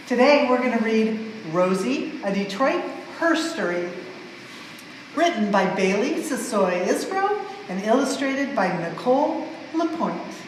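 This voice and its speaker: A woman reads a storybook aloud for children with expressive, measured emphasis on the rhymes. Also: fairly high pitch. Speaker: museum staff member reading a story aloud. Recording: very echoey large hall, some noise.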